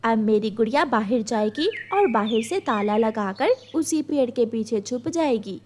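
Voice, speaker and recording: A woman voicing a clever, determined mother bird in a children's cartoon, speaking in a measured, resolute way. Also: on the high side, expressive, darkish tone, slight background noise. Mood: reassuring. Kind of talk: animated children's story dialogue.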